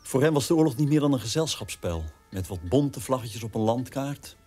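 A man's voice: mid-range voice, expressive, a little fast, darkish tone, husky, faint music behind. An actor playing a son who sardonically scorns his officer father in a radio drama. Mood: contemptuous. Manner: contemptuous, sardonic.

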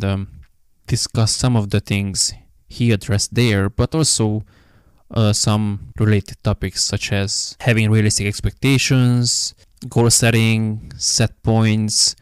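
Podcast host, man, low, even, smooth voice, dry close mic. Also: no echo. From Hungary. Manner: measured, with frequent "um" and "uh" fillers. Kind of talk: podcast interview.

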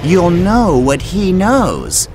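Crafty serpent tempter played by a male reader, children's storybook, swooping pitch, insinuating, background music. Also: big pitch swings, very slow, exaggerated voice. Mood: coaxing, persuasive. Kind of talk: children's Bible storybook reading.

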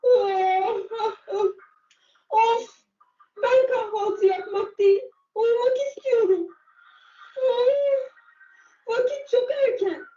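A woman's voice: very high-pitched, takes it slowly, pauses often, mellow, voice pushed up. A woman voicing a grumpy, miserable little boy turtle who sighs and complains in a children's fairy tale. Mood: whiny, sleepy. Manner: sighing, complaining. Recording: muffled, roomy, far from the mic.

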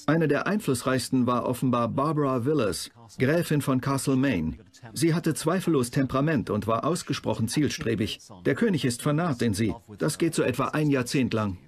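A man's voice: mid-range voice, expressive, normal speed. A man giving explanatory historical commentary in a documentary.

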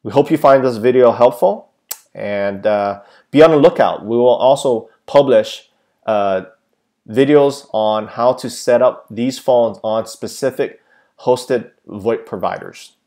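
A man's voice: mid-range voice, a little slow, warm tone. A man giving a plain, methodical step-by-step how-to narration, with frequent filler sounds, as a tech tutorial presenter.

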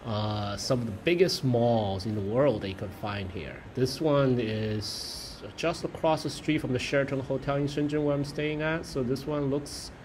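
A man's voice: medium pitch, a little mellow, a little hiss or hum. Man vlogging on a walk through city streets. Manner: halting, many fillers and self-corrections. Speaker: travel vlogger. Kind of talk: casual walking vlog narration. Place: city streets, mall and subway station.

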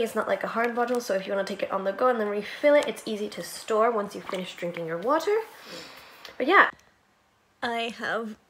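A woman in her twenties chatting casually to her phone in a small bedroom, full of "like" and little giggles, her voice a bit croaky. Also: mid-range voice, slight background noise. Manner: chatty, lots of "like", giggly asides. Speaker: vlogger. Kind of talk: casual vlog chat. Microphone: phone held at arm's length.

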